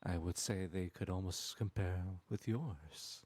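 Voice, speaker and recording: A man voicing a sly, smooth-talking male trickster god in an audio roleplay, speaking in a silky, teasing and persuasive way. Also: deep voice, unhurried, a little mellow, no room sound. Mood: scheming.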